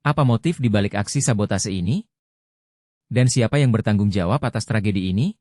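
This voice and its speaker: Man, deep-ish voice, quick scripted news narration, dry sound. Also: expressive, a little mellow, no room sound.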